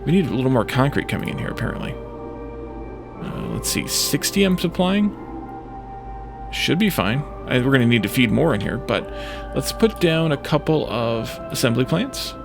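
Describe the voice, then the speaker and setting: A man's voice: mid-range voice, sing-song, moderate pace, creaky. A man giving casual video game commentary as a let's play host, thinking aloud and musing to himself in short fragments. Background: game music.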